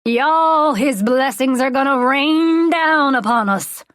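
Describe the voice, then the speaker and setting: A woman voicing a folksy, charismatic male megachurch preacher, preaching with drawn-out words and dramatic pauses. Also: sits high, fairly bright tone, no room sound, clean studio sound, voice pushed up. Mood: rousing. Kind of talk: audiobook character voice.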